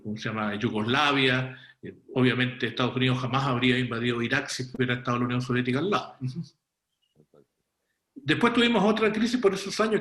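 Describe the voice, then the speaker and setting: A man's voice: medium pitch, a bit bright, roomy sound. A man on a panel show, giving political analysis in an explanatory way, with asides and self-corrections.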